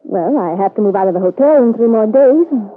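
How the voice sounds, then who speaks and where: A woman's voice: on the high side, lively intonation, fairly quick. An actress playing a breezy, self-assured, sociable married woman on vacation in an old radio soap. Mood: casual, persuasive. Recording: muffled narrow-band vintage radio recording.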